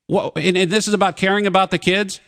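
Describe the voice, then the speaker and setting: A man hosting a talk radio show in the studio, who reads contract terms off in a rapid list and talks over his guests. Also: sits high, fairly even intonation, vocal fry, fairly bright tone. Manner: pushy, interrupts guests. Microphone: studio broadcast mic.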